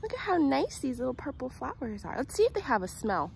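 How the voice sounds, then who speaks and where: A woman's voice: high voice, sing-song, fairly quick, creaky, a little hiss or hum. A woman in her twenties talking to young children like a teacher on a nature walk, with simple sing-song phrases and questions, recorded outdoors on a handheld phone. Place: outdoors in a residential yard. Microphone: phone at arm's length.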